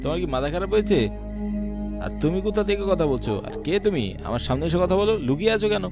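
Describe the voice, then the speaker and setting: A man's voice: high voice, lively intonation, fast talker. A man playing a poor, earnest village farmer in a cartoon drama, plain-spoken and prone to nervous stammering. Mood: alarmed. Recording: music behind the voice.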